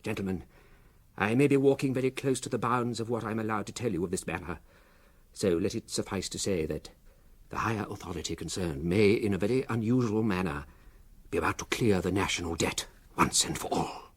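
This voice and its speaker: A man's voice: on the low side, brisk, close to an everyday voice. A man with a British accent playing an officious, conspiratorial supervisor with a formal, precise manner in a radio comedy. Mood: confidential, grave. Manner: formal, smooth-talking, precise.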